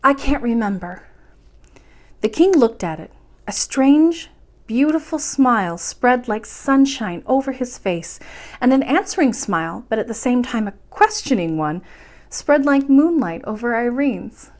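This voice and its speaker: A woman's voice: a little high, lively intonation, measured pace, darkish tone. A woman reading a children's story aloud expressively, as the host of a bedtime storytime.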